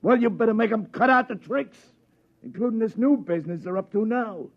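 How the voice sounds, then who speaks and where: An actor playing an exasperated police sergeant who talks brusquely and mocks, in a 1950s radio drama. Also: male voice, high voice, a little fast, gravelly, muffled recording. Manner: brusque, mocking.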